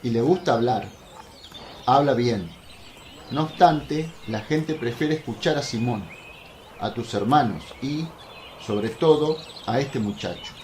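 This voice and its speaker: An actor playing a humble, plain-spoken apostle, earnest and talkative, in a religious radio drama. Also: male voice, medium pitch, slow, a little mellow, lightly acted. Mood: earnest, chatty. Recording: roomy echo, faint noise, birdsong behind.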